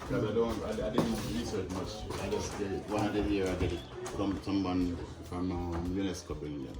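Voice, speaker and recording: Jamaican chief guy, really low voice, kinda far off, echo and music in the back. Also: steady tone, normal speed. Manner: affirming often, short replies. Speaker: maroon chief. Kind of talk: casual conversation. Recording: echoey, off-mic with music behind.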